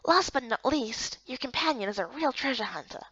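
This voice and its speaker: A woman's voice: high voice, expressive, brisk, pushed and bright, husky, no echo, highs cut off, exaggerated voice. A woman voicing a patient, helpful male guild guide in a visual novel game, speaking politely and instructively.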